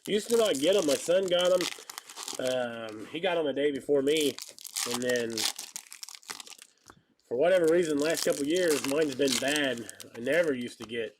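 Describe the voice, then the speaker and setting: A man in his thirties with a stuffy nose and slightly hoarse voice, chatting casually and joking while he hosts a live card break. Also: fairly high pitch, moderate pace, noisy background. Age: 30s. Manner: rambling, joking, calls out card pulls. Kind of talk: casual live-stream chat while opening cards.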